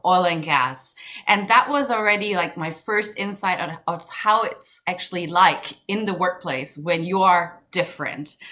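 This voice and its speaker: A woman's voice: on the low side, bright, forceful tone. A woman in her thirties from Germany, a podcast guest introducing herself in a candid, articulate, conversational way.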